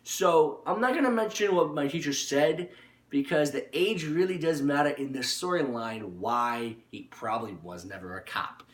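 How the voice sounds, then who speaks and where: A young man telling a personal story casually and off the cuff, rambling and haltingly, often repeating his words. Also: fairly high pitch. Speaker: online video storyteller. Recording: echoey room, distant microphone.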